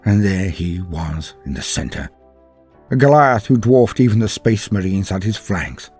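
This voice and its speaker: Male narrator for dramatic fiction: very low, rough-edged voice, grave and theatrical delivery over faint music. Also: expressive, unhurried, darkish tone. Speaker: storyteller narrating fan fiction. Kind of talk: dramatic scripted first-person narration.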